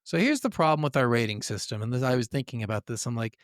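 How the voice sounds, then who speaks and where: A man's voice: mid-range voice, lively intonation, fast, no room sound, clean studio sound. A man co-hosting a gaming podcast in a casual, informal way. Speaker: podcast co-host.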